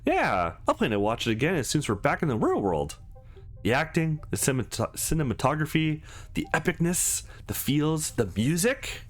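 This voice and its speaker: A man's voice: on the high side, wildly swooping pitch, normal speed, pitched above the performer's own voice. A man voicing a sweet, eager young woman in a visual novel, gushing and a little hesitant, with music behind.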